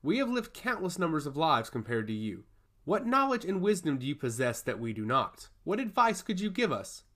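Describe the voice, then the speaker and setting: A haughty goddess, read by a male narrator, speaks in lively, swooping phrases. She is imperious and sharp, scorning mortals in a fantasy tale. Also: fairly high pitch, normal speed, voice pushed up. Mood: scornful. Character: haughty, scornful goddess of law, an immortal woman. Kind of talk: fantasy audio story dialogue.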